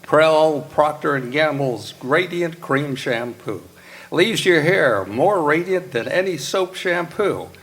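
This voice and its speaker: Unhurried man, old-time radio announcer. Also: a little high, animated, fairly bright tone.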